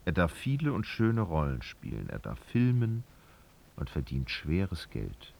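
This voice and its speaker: A man narrating a literary radio drama in a husky voice, with dramatic, sardonic storytelling. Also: low voice, huge pitch swings, a little slow, darkish tone, slight background noise, full character voice.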